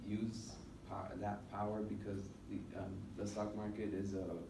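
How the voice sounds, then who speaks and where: A young man from the United States giving an informal student presentation in a classroom, speaking haltingly with many filled pauses. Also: fairly low pitch, monotone. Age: young adult. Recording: roomy, noisy classroom recording from a distance.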